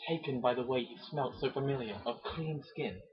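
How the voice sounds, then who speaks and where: A man gives a mock-dramatic amateur reading of romantic prose. His mid-range voice is breathy and rough-edged. The recording sounds dull and lo-fi. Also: medium pitch, a little fast. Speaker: amateur narrator.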